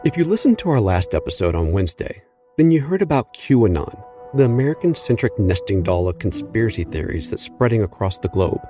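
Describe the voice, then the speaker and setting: A man hosting a news podcast, narrating a script in a measured, reflective way and putting questions to a guest. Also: on the low side, big pitch swings, dark tone. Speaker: podcast host. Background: music bed.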